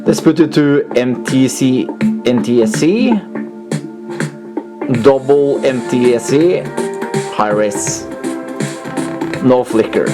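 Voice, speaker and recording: A man's voice: medium pitch, slow, pauses often. A man giving a casual, unscripted computer demonstration, hesitant and often searching for words, as the host of a retro tech video. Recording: music playing behind the voice. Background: music from computer audio player.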